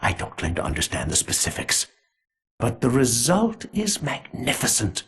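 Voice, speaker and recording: An actor voicing a sinister, aloof conspirator in a video game, savoring his words theatrically in a husky, raspy voice. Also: mid-range voice, wildly swooping pitch, normal speed, a bit bright, exaggerated voice. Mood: reverent gloating. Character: sinister, aloof conspirator gloating over a bioweapon pact. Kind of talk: scripted video game villain monologue.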